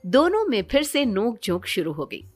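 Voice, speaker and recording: Fairly high-voiced woman narrating a story. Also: big pitch swings, normal speed. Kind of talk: scripted moral-story narration. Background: background music.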